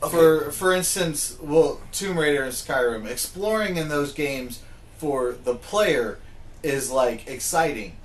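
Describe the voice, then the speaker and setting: A man's voice: a little high, takes it slowly. A man in a casual panel discussion, talking informally and arguing through examples. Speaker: podcast co-host. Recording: faint background noise.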